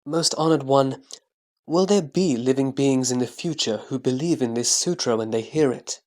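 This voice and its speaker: A man playing a wise, reverent elder disciple who speaks in a respectful, measured way in a scriptural audio drama. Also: medium pitch, darkish tone, close to an everyday voice.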